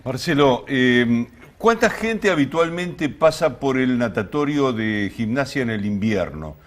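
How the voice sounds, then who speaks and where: Argentine guy hosting a show, normal pitch, chatty interview questions. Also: expressive, unhurried. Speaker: radio or TV host. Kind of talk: conversational interviewing.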